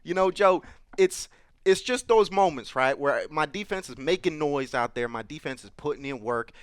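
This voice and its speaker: Brash, crude football coach, boastful and heckling, man putting it on, highish voice, dry close sound. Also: animated, normal speed, clean tone, dry recording, natural voice. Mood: fired up. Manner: boastful, crude, keeps addressing the host by name. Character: brash profane male college football coach. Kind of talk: improvised comedy interview sketch.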